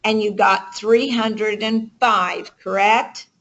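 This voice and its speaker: A woman's voice: medium pitch, fairly even intonation, slow, a bit bright. Woman coach on a muffled conference call, takes her time, keeps checking the group follows. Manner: emphatic, checks in with rhetorical questions. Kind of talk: motivational coaching, interactive teaching with questions to the group. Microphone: conference call line.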